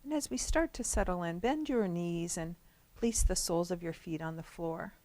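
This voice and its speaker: A woman guides a relaxation session in a fairly low, very mellow voice. She gives instructions gently and slowly, leaving long pauses between phrases. The sound is dry, with no room echo.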